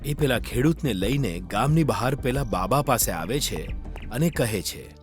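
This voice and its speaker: Lively male children's story narrator. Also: medium pitch, normal speed, soft background music.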